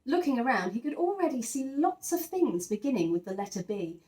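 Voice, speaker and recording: A woman reads a children's story aloud in a roomy, echoing space. Her voice is fairly high and swings widely in pitch. She stresses key words clearly for young listeners, in the manner of a teacher. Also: sing-song, a little fast, a little mellow. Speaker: teacher reading a children's picture book aloud. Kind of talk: expressive scripted story narration.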